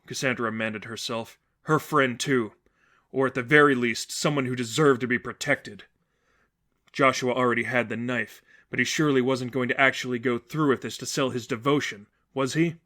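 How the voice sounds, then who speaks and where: A male narrator reads dramatic fiction in a mid-range voice. His delivery is measured and earnest, keeping up suspense as he moves through scripted prose. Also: mid-range voice, a bit bright. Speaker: narrator reading fan fiction.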